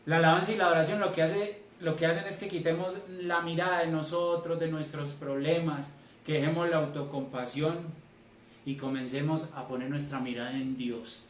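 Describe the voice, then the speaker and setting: A man's voice: on the high side, steady tone, normal speed. Emphatic Colombian male preacher in a roomy space. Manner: emphatic, repeats key phrases. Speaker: church elder preaching. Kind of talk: sermon. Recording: roomy, distant mic, faint noise.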